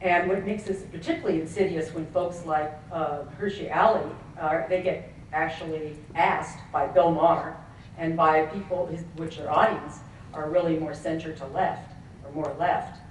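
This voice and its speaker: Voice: medium pitch, steady tone, moderate pace, a little hiss or hum. A professor in the audience making a point to a panel, earnest and rambling with many asides. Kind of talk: conference audience question. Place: conference hall. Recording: very echoey hall from far off.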